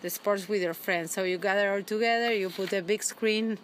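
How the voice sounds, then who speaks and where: A woman's voice: mid-range voice, steady tone, brisk, background noise. A middle-aged woman from Argentina with an Argentine Spanish accent, speaking hesitantly in an interview, a Spanish speaker. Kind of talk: casual interview.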